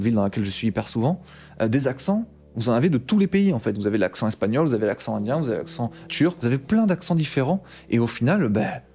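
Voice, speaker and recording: Man with a Bordeaux accent, quick-talking and animated, slangy, with faint music underneath. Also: medium pitch. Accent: Bordeaux French. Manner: animated, slangy, mildly exasperated. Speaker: tech YouTuber. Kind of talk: casual talk to camera.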